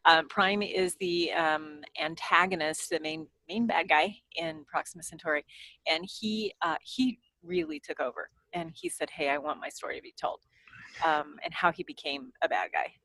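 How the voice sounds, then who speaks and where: A woman with a voice a little lower than most speaks casually on a podcast panel. She is halting as she searches for words. The sound is very dry. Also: big pitch swings, vocal fry, bright, forceful tone, no echo, low-quality recording. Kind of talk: casual chat.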